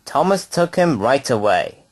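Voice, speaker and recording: Male narrator, children's storytelling, unhurried, expressive intonation, dry recording. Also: medium pitch, dry recording.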